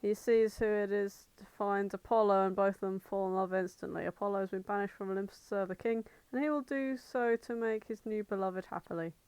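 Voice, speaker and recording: A woman's voice: medium pitch, fairly even intonation, a little fast, few pauses, a little mellow, clean tone, dry recording, poor audio. A woman co-hosting a casual comedic podcast, chatting in an irreverent, sweary, bantering way. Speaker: podcast co-host.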